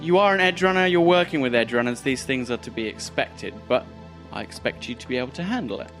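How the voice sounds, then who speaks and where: A man's voice: mid-range voice, animated, fast, a bit bright, soft background music, close to an everyday voice. A man voicing an imperious female crime boss in a tabletop game, clipped, formal and commanding. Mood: stern, businesslike. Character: imperious female crime boss, coolly businesslike, politely demanding.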